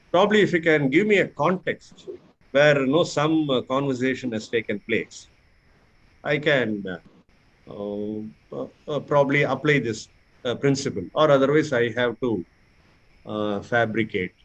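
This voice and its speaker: Indian-accented man mentoring, halting delivery. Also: medium pitch, animated, rough recording. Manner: halting, repeats words, checks with listeners. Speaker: public speaking mentor leading a session. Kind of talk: interactive mentoring talk with spoken examples.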